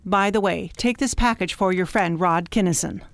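A woman playing a wise alien mentor who talks like an exacting, gently scolding professor in a radio drama. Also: on the low side, moderate pace, lightly acted. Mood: wise, serene. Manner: precise, schoolmistressy, gently scolding. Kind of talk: science fiction radio drama acting.

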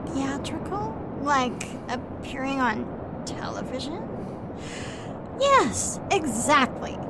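A woman playing an older mother in an amateur radio drama, speaking slowly and hesitantly. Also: high-pitched, big pitch swings, very noisy, full character voice. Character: older mother, fussy landlady.

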